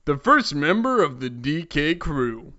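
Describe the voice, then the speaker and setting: A man's voice: on the high side, sing-song, measured pace, sharp tone, dull audio, full character voice. A man voicing a big, easygoing, boastful talking ape in a comic fanfic reading. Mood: proud. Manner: goofy, proud, casual.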